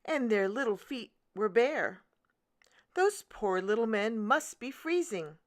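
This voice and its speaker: A woman's voice: on the high side, big pitch swings, slow pace, pitched above the performer's own voice. A woman voicing a kindly, concerned shoemaker's wife in a children's storybook, speaking tenderly and expressively. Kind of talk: children's storybook read-aloud.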